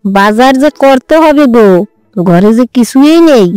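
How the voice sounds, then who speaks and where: Woman playing a clear-voiced bossy mother-in-law. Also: high-pitched, a little slow, mellow, dry recording, poor audio. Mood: nagging. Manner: nagging, coaxing. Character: bossy, doting older village mother-in-law. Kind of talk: comedy cartoon voice acting. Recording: music behind the voice.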